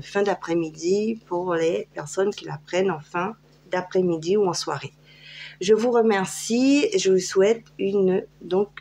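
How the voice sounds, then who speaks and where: A woman's voice: lowish voice, mellow, slight background noise. A French woman giving a casual tarot reading, chatty and hesitant, with many fillers. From France. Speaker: tarot card reader. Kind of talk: casual tarot guidance video.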